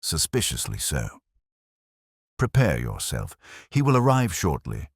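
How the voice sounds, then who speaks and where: A large, imperious older detective, acted by a man speaks in formal, terse pronouncements. The voice is extremely deep and husky, deliberate and grumpy, in the style of a mystery audiobook. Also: wildly swooping pitch, no echo, studio quality, exaggerated voice. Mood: dry, suspicious.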